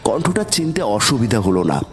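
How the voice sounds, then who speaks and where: A man's voice: mid-range voice, very animated, moderate pace, faint music behind. A man giving a dramatic, suspenseful first-person narration of a scripted horror story.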